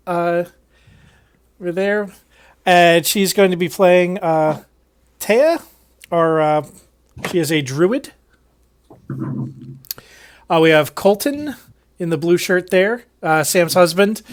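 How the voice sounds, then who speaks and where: Slightly high-voiced man running a tabletop game. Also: clear, resonant tone. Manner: hesitant, many "uh" fillers. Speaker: dungeon master. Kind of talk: casual table talk, introducing players.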